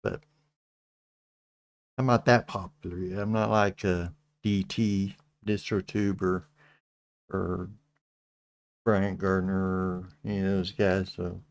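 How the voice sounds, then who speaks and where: A middle-aged man with a Texas accent, hosting a casual livestream chat, speaking slowly with many halting restarts. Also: low-pitched, level tone, no room sound. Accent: Texan. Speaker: channel host.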